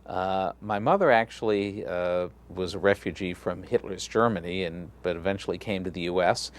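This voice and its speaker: A man's voice: low-pitched, normal speed. A middle-aged man, a rabbi from Texas, chatting in an interview in a loose, friendly way with many fillers and restarts. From Galveston, Texas. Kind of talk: casual interview conversation.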